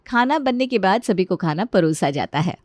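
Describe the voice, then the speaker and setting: A woman with a mid-pitched voice narrates a scripted domestic story. The recording is dry and close, with no room sound. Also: expressive, moderate pace, a little mellow, no room sound.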